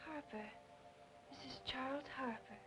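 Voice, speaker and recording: Earnest high-voiced young woman. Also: unhurried, over music, low-quality recording. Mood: nervous, hesitant. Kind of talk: 1940s film noir acting.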